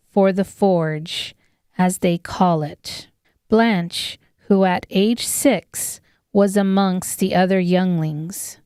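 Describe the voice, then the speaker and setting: A woman's voice: mid-range voice, a little mellow, smooth, dry recording, clean studio sound. A woman narrating a scripted fantasy audiobook as its storyteller.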